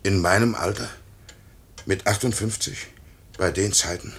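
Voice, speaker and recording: An actor in a 1950s radio play voicing a broken foreman in his fifties, halting, stammering and repeating himself. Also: fairly low pitch, vocal fry, fairly bright tone, slightly rough, full character voice. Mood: desperate pleading. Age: 50s. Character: broken, pleading 58-year-old aircraft works foreman.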